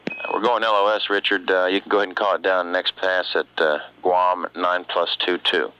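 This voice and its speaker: A man's voice: low-pitched, little pitch movement, moderate pace, sharp tone. A man working as a mission control communicator, talking to a crew over a radio link. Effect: radio transmission. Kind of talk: air-to-ground radio exchange. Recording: dull radio link with faint hiss.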